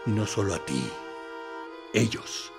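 A military interrogator in a radio drama, playing the friendly one while threatening. He speaks slowly and deliberately, with dramatic pauses and wide swings of pitch, over background music. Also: expressive, creaky, a little mellow. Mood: mock sympathy, menacing. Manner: deliberate, mock-friendly, condescending, with theatrical pauses. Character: smooth, menacing male military interrogator playing good cop. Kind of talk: dramatic radio play monologue.

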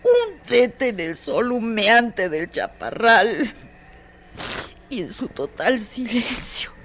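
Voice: medium pitch, sing-song, slow pace, a bit bright, slight background noise, full character voice. An actor in a poetic radio drama playing a bitter, tormented person with an intense, incantatory delivery. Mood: brooding, bitter.

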